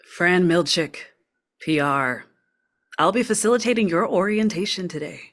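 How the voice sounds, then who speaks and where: A woman playing a stoic, politely chipper corporate orientation facilitator in a script table read, brisk, procedural and artificially courteous. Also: lowish voice, fairly bright tone. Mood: pleased, formal.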